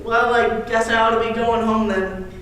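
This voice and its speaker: A man's voice: very high-pitched, flat delivery, normal speed, a bit bright. A teenage boy acting an earnest, boastful, awkward young suitor in an amateur stage play. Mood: polite, awkward. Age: teens. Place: high school stage, filmed play. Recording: echoey stage, distant mic, faint noise.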